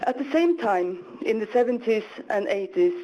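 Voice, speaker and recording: A woman in her forties from northern Norway with a Norwegian accent, giving earnest, measured prepared remarks as a panelist at a conference. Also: medium pitch, lively intonation, dark tone, a little hiss or hum. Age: 40s. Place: conference hall. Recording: dull lo-fi conference recording.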